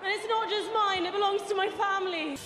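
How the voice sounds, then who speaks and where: A woman's voice: very high-pitched, moderate pace, recorded from a distance. A woman playing a brash, combative young pro wrestler in a film. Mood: defiant, triumphant. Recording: echoey film audio, music and crowd behind.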